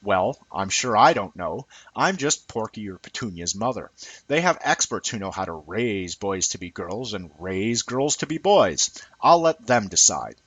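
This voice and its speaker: A man voicing a self-absorbed, hurried working mother in a satirical essay reading, airy and mock-innocent. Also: lowish voice, big pitch swings, a bit bright, husky, no room sound, exaggerated voice. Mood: breezy, dismissive.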